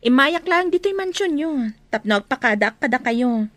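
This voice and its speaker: A woman's voice: high voice, expressive, normal speed, a bit bright, no echo. A woman with an Ilocano accent playing an affectionate aunt visiting from abroad in a radio drama. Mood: fond, farewell.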